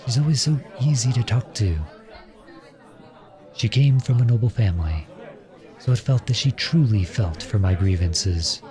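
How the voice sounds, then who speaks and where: A man playing an earnest, lovestruck outlawed prince turned soldier in an audio roleplay drama, speaking softly and with measured pauses. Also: medium pitch, a faint crowd, close to an everyday voice. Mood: tender, wistful.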